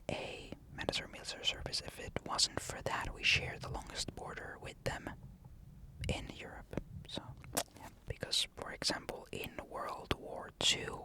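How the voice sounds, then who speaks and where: A young man from Finland with a Finnish accent, answering comments in a casual, matter-of-fact ASMR whisper. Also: rough recording. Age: young adult. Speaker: ASMR creator. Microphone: USB condenser mic.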